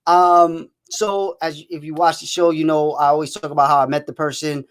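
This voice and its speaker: A man hosting a live online interview show, casual and halting with many fillers and restarts. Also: on the high side, little pitch movement, clear, resonant tone. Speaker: host.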